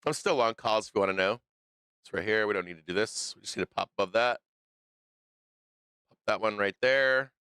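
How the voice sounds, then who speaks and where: A man's voice: fairly low pitch, brisk, pauses often, bright, forceful tone, smooth, dry recording. A man hosting a live stock market stream, losing his voice, thinking aloud through the charts in a loose way with fillers and restarts. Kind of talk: live trading commentary over charts.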